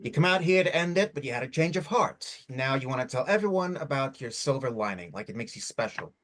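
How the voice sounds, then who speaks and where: A man's voice: medium pitch, expressive, brisk, a bit bright, a little hiss or hum, close to an everyday voice. A man playing a bitter, despairing man in his twenties in a drama reading, curt and defensive. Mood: bitter, sarcastic. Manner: curt, guarded, irritated.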